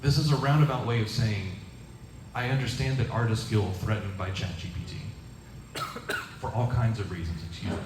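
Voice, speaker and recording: A man presenting his venture and answering audience questions, halting with many restarts. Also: on the low side. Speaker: startup presenter taking questions. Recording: echoey room, distant mic, noise.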